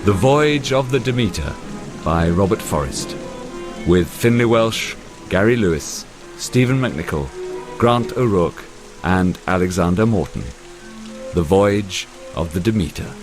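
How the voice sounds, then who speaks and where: A man's voice: low voice, expressive, measured pace, music in the background. A man reading a scripted title announcement for an audio drama.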